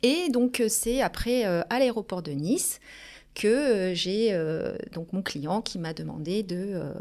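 A businesswoman in her forties speaks with a mid-range pitch, talking about her career in a lively, chatty way. She fills her sentences with hesitant pauses and restarts. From Paris region, France. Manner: lively, hesitant with many fillers. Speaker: company director, guest. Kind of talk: casual interview storytelling.

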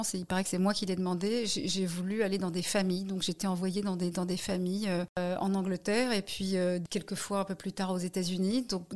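A French woman in her forties, a guest in a conversational interview, talking in an animated way with many fillers and restarts. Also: medium pitch. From France. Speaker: guest, novelist and filmmaker.

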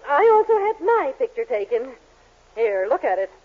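Casting: haughty middle-aged matron, high and very animated, tinny old radio sound. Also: female voice, moderate pace, slight background noise. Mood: suspicious, dismayed. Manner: theatrical, affronted exclamations. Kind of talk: 1940s radio sitcom acting. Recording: tinny narrow-band 1940s radio recording.

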